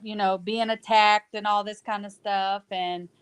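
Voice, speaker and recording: A woman's voice: medium pitch, fairly even intonation, measured pace, smooth. A woman from Ohio in a casual podcast chat, loosely telling about her stage role, laughing often. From Ohio, United States. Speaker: podcast guest, cast member.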